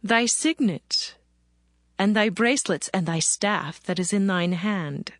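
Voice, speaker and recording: Brief: man voicing a high, slow young widow for a dramatized scripture audio. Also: fairly bright tone, no echo, studio quality. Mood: bargaining. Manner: slow, deliberate, high put-on voice. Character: young widow woman, bold, bargaining.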